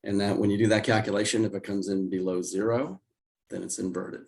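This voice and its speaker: An older man with a hoarse, raspy voice while recovering from illness, explaining market charts as a guest on a video call. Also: fairly even intonation, a little mellow. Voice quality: raspy, deeper than usual. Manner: measured, explanatory, with restarts. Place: home office indoors.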